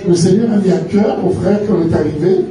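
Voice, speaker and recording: A man from France preaching a sermon in short phrases, pausing for an interpreter. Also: sits high, background noise. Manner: pauses in short phrases for translation. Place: large church hall. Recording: echoey church from far off.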